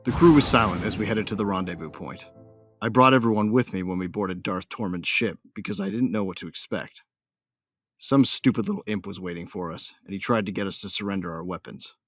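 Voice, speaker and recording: Brooding, hot-headed bounty hunter , male actor: deep, dry sound, slangy first-person audio drama storytelling with menace. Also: fairly quick, no room sound, faint music behind. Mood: angry, defiant.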